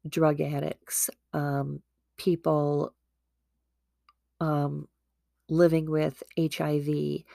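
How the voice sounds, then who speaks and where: A woman with a low, gravelly, mellow voice talks about faith like a casual podcast host, earnest and full of asides. She sounds a little stuffy from a starting cold. The recording is dry and close. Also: level tone, very slow, long pauses, dry recording. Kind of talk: casual devotional talk.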